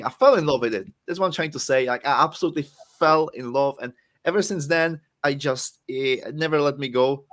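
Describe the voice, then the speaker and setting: A man's voice: mid-range voice, fairly bright tone. Czech-accented young man, rambling. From Czech Republic. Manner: rambling, self-mocking storyteller. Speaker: guest wrestler. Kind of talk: casual podcast chat.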